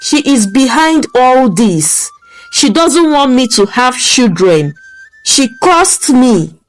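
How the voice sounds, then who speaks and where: A woman voicing a distressed young wife, speaking slowly and heavily. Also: sits high, big pitch swings, soft background music, voice pushed up, full character voice, poor audio. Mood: angry accusing. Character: distressed young wife, tearful then furious.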